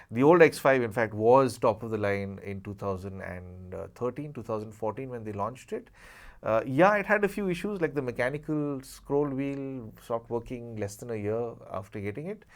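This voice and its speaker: A man giving a casual, unscripted product review, hesitating and rephrasing as he goes and repeating points for emphasis. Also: lowish voice. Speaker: tech reviewer, channel host.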